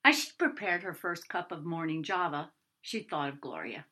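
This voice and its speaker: Woman, author-narrator, expressive scripted story reading, bright tone, animated intonation. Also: low voice, normal speed.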